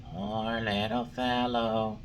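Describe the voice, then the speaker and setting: A man voicing a small female glowworm who gently scolds in a soft, slow, high voice. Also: monotone, slight background noise, natural voice. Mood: gently scolding.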